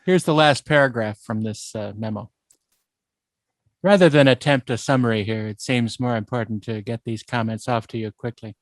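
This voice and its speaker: A man's voice: mid-range voice, normal speed, no room sound. A man co-hosting a podcast, casually discussing film and reading documents aloud. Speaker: podcast co-host.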